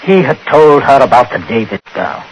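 A man acting in a 1940s radio drama as a coldly menacing patriarch who speaks deliberately, precisely and with command. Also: medium pitch, sing-song. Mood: coolly calculating. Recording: noisy old radio recording.

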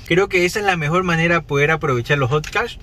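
A man's voice: on the high side, fast talker, pushed and bright. A man in his thirties chatting casually to viewers while unboxing toys in a parked car at night. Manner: chatty, upbeat, talks straight to viewers. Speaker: toy collector vlogger.

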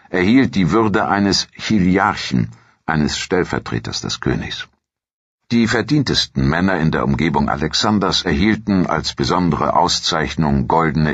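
A male narrator speaks in an extremely deep, husky register. He reads scripted documentary audiobook text. The recording is dry and somewhat muffled. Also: fairly bright tone, no echo.

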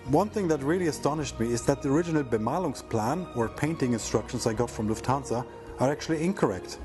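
Middle aged guy reading a documentary style voiceover, normal pitch, music behind. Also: animated, quick pace. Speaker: narrator of own restoration project. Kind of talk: scripted voice-over narration.

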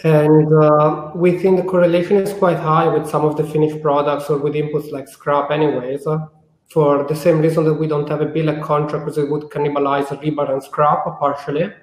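A man speaking as a panelist on a webinar over a video call, measured and even. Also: a little high, echoey room. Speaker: panelist, exchange representative.

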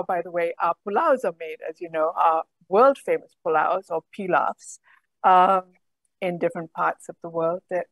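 A middle-aged woman, a guest on a video call, explaining in a measured way. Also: mid-range voice, dry recording, low-quality recording. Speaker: guest, program director.

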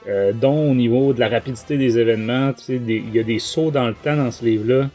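Mellow-voiced Québécois man chatting. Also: medium pitch, fast, soft background music. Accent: Québécois French. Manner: rambling, colloquial, hesitant. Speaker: podcast host and book reviewer. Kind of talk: casual book review chat.

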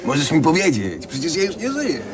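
Cocky, lively street lad. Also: male voice, fairly high pitch, moderate pace. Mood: teasing, chummy. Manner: blunt, teasing, slangy. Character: cocky, teasing Warsaw street lad, long dead friend. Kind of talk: colloquial radio drama dialogue. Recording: clear street noise behind dialogue.

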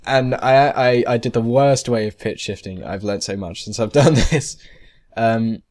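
A man narrating a software tutorial casually, in a rambling, hesitant, self-deprecating way. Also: medium pitch, measured pace. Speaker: tutorial presenter. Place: indoors, screen-recording voice-over.

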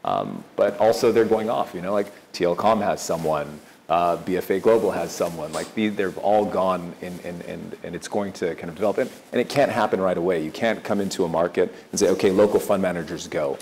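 A Ghanaian man with an American, Californian-sounding accent, speaking quickly as a fund manager on a conference panel. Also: low voice, a little mellow, slight background noise. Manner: fast, enthusiastic, many restarts. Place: large conference hall stage. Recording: echoey hall with faint ambience.

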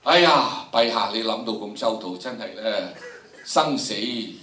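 A man's voice: on the low side, expressive, unhurried, sharp tone. A man with a Hong Kong Cantonese accent voicing deferential, frightened generals pleading theatrically with their emperor in a history story. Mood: deferential gratitude. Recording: echoey lecture hall, distant microphone.

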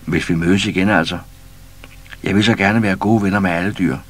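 A man's voice: sits low, fairly even intonation, a bit bright. Troldepus, a man voicing him, cheeky troll boy. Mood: cheeky bold. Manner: bold, cheeky, commanding.

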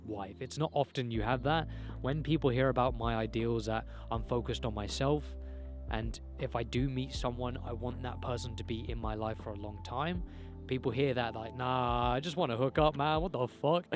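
Candid young man vlogging outdoors. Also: medium pitch. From England. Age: young adult. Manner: candid, rambling, swears and laughs often. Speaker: self-improvement vlogger. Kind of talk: casual talk to camera. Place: outdoors in a green field by a hedge. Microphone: handheld camera mic, close.

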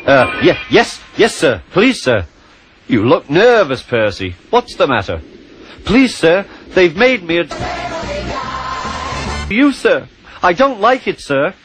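A man's voice: a little high, big pitch swings, takes it slowly, pauses often, fairly bright tone, voice pushed up, exaggerated voice. A man puts on a small, timid young engine who stammers, pleads and answers with constant politeness. The voice sits well above a grown man's and swoops up and down. Music plays under it. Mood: nervous. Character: small nervous young male tank engine.

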